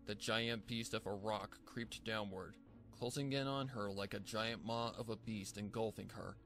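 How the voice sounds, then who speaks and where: Male narrator for dramatic fan fiction, lowish pitch, measured and theatrical, music underneath. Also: steady tone, fairly bright tone. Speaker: story narrator. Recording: music bed behind the voice. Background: background music.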